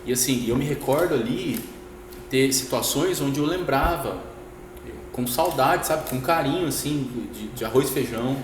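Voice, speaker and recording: A man, a teacher and podcast guest, telling a story in a relaxed casual way with frequent tag questions. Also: medium pitch, moderate pace. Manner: relaxed, anecdotal, laughs easily, frequent "né?". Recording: roomy echo, long reverb, noisy.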